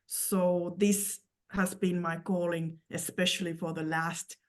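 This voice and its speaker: A woman from Finland with a Finnish accent, giving an earnest personal testimony as an interview guest, in halting phrases with repeated words. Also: fairly low pitch, flat delivery.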